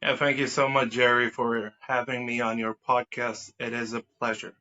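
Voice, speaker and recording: A man from Israel, a native Hebrew speaker, talks as an interview guest. His voice is bright and forceful, with little melodic movement. He uses formal, wordy phrasing and corrects himself as he goes, through slightly muffled, lo-fi audio. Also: mid-range voice, flat delivery, normal speed. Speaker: podcast guest. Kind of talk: conversational interview answers. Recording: dull lo-fi sound.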